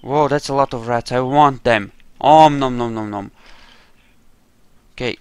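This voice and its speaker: A man with an average-pitched voice hosts a video game playthrough. He talks casually and without hurry, explaining the character he plays and tossing trash talk at enemies. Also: measured pace, clear, resonant tone, dry recording. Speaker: gamer, playthrough host. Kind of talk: casual role-play game commentary.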